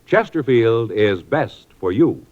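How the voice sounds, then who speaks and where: Man, 1950s radio sales pitch, brisk and emphatic, lively pitch, lo-fi. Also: heavy background noise. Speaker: commercial announcer. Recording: dull lo-fi 1950s radio broadcast.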